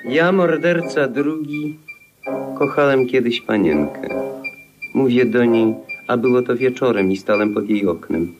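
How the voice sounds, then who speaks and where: A man's voice: medium pitch, animated, highs cut off, over music, close to an everyday voice. An actor playing a lovelorn, melancholy drunken murderer in a 1950s radio play, telling his tale in a theatrical, lyrical manner. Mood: wistful. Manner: theatrical, lyrical storytelling with drawn-out phrases. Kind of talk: 1950s radio play acting, poetic monologue.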